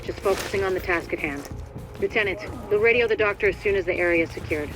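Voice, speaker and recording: An actress plays a female commander in a video game, composed and pragmatic. Her delivery is fast and clipped, with matter-of-fact authority. Music runs clearly underneath. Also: medium pitch, close to an everyday voice, poor audio. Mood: firm.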